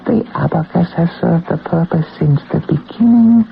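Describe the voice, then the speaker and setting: A woman's voice: on the low side, normal speed, vocal fry. A woman playing a solemn, mystical old being who alters fate, speaking in a portentous, incantatory way in a vintage radio drama. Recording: muffled noisy old radio broadcast.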